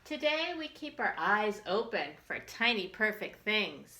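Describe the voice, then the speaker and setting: A woman's voice: mid-range voice, very animated, unhurried, sharp tone, slight background noise. A woman hosting a storytime for young children, reading aloud in simple phrases and putting questions and asides to her little listeners. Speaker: children's librarian storytime host.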